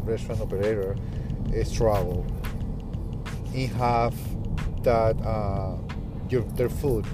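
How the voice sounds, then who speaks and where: A man in his thirties from Peru with a thick Peruvian Spanish accent, whose first language is Spanish, hosting a casual podcast and hesitating often, with many fillers and restarts. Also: mid-range voice. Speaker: podcast host, restaurant manager. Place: in a car while driving.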